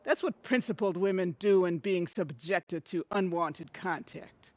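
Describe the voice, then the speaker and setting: A woman's voice: fairly low pitch, a little slow, a little mellow. A woman acting in an audio comedy as a prim, self-righteous housewife, easily outraged, protesting in a huffy, melodramatic way. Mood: huffy, indignant.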